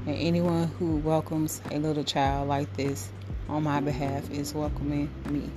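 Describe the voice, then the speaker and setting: A woman podcast host reading the Bible aloud in a devotional style, halting in short phrases with occasional stumbles. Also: deep voice, little pitch movement. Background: music under the voice.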